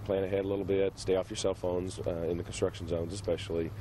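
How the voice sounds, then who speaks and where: A man speaking outdoors in a news interview, halting with fillers. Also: sits low, level tone, noisy background. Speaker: city official interviewee.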